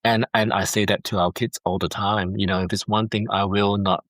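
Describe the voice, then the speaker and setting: A man's voice: lowish voice, little pitch movement, brisk, a bit bright, no room sound, studio quality. A middle-aged man from Singapore, a foster dad talking earnestly on a call and often repeating words as he thinks. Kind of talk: heartfelt interview over a call. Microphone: video call.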